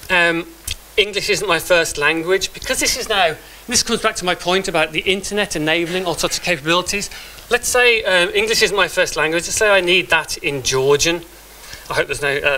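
A man's voice: fairly high pitch, bright, forceful tone, poor audio. A middle-aged man giving a live talk with a demo in a meeting room, speaking conversationally with frequent restarts and fillers. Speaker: conference speaker.

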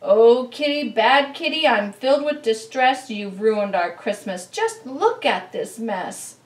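A woman voicing a dramatic, exasperated pet owner in a storybook, emphatic and loud. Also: on the high side, lively intonation, takes it slowly. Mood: exasperated, scolding. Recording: roomy, distant camera microphone.

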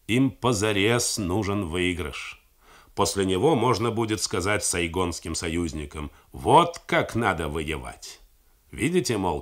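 A man reading the part of a calm, deliberate army general who reasons things through steadily. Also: low voice, full character voice. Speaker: army commander.

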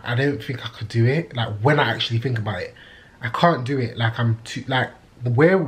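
A man in his thirties with a London accent chats to his camera at home. His delivery is informal and candid, full of slang, with a medium-pitched voice. It sounds like an everyday personal vlog. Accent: British, London. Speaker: YouTube vlogger. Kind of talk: casual vlog chat. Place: indoors in a flat: kitchen, living room, bedroom.

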